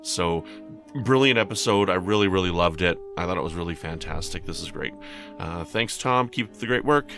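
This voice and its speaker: Low-pitched man in a rambling casual review. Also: a little fast, over music. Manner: hesitant, rambling. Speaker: listener leaving feedback for a podcast.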